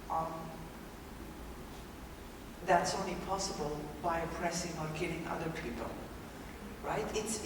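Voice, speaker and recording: A woman from Belgium joining a discussion after a lecture, thoughtful, with frequent restarts. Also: medium pitch. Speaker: discussion participant. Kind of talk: spontaneous discussion. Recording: echoey hall, distant mic, background noise.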